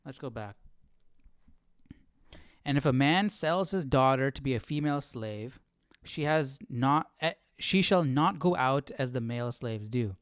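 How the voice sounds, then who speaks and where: A man hosting an informal livestream Bible study, reading aloud and thinking out loud in a musing, hesitant, self-questioning way. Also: medium pitch, expressive, a little slow, clear, resonant tone, no echo, clean studio sound.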